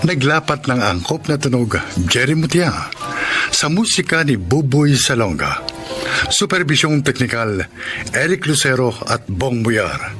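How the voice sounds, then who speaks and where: A man with a Filipino accent announcing a scripted radio drama in a dramatic, deliberate way. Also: mid-range voice, pushed and bright.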